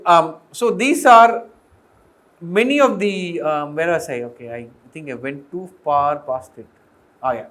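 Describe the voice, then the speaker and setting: A man's voice: high voice, fairly bright tone. A middle-aged man with an Indian accent giving an informal technical lecture as a professor, explaining step by step and posing questions to the class. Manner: explanatory, often posing questions and checking understanding. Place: indoor lecture room with green chalkboard. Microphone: fixed or worn mic, voice drifting as he turns.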